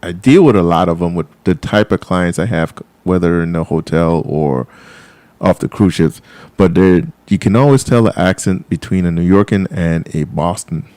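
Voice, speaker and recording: A male radio host with a very low, warm voice asks his questions in a rambling, restarting way. His tone is smooth and resonant. The studio sound is dry and close. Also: moderate pace, no room sound. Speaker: radio talk show host. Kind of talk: live call-in radio interview.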